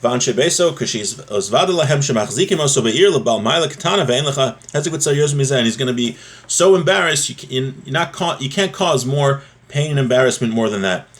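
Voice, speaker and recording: A man's voice: medium pitch, lively intonation. Man teaching religious law, informal and explanatory. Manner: explanatory, informal, halting and repetitive, with rhetorical check questions. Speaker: religious teacher giving a class. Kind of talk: spoken lesson, reading Hebrew text and explaining it in English.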